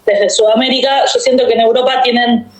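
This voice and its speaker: A young woman with a Uruguayan accent, chatting as a guest about her travels in a lively, storytelling way. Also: fairly high pitch, brisk. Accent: Uruguayan Spanish. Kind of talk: casual interview talk. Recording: echoey room.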